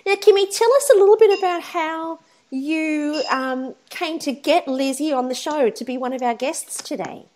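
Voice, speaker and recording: A woman co-hosting a casual podcast chat, her voice croaky and hoarse, playful and laughing. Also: high voice, normal speed, darkish tone, a little hiss or hum. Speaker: podcast co-host.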